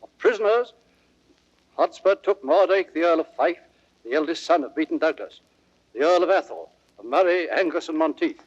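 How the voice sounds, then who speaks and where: A loyal courtier in a Shakespearean audio drama. The voice is husky and fairly high, speaking formal verse with gravity on a dull vintage recording. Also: male voice, animated, normal speed, long pauses. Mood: grave report. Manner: formal, declamatory. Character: loyal, grave nobleman advising his king. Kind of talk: classical Shakespearean radio acting.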